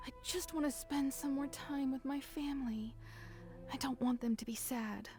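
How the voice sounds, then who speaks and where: A woman's voice: high-pitched, fairly even intonation, normal speed, mellow, soft background music. A woman voicing a pleading young girl who wants to stay with her family.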